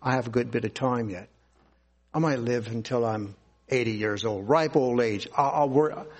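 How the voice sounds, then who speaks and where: A man preaching a sermon, reading scripture aloud and explaining it in a deliberate, earnest way. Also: mid-range voice.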